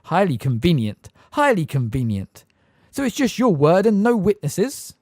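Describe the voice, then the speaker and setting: A man's voice: high voice, expressive, moderate pace, a little mellow, no room sound, pitched above the performer's own voice. A pompous, self-satisfied official speaks in a raised, lofty voice full of smirking scorn, as a male audiobook reader plays him. His delivery bounces up and down with theatrical emphasis. The recording is close and dry. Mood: dismissive. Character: smug pompous male official, plump, middle-aged. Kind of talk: audiobook character voice.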